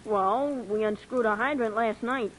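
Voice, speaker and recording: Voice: medium pitch, normal speed, a bit bright, slight background noise, close to an everyday voice, poor audio. A performer voicing a defiant, cocky young street boy in a 1950s radio drama, full of slangy, sassy comebacks. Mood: sleepy.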